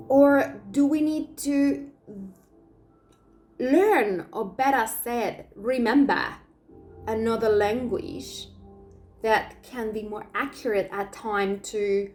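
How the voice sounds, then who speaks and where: A woman whose first language is Spanish, speaking English as a teacher in an invitational video. She asks many rhetorical questions and pauses often with fillers. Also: fairly high pitch. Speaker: Akashic Records teacher.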